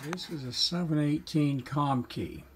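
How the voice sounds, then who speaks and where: A man giving an informal technical show-and-tell in a matter-of-fact, halting, explanatory way. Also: fairly high pitch, slightly rough. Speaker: presenter, telephone equipment collector.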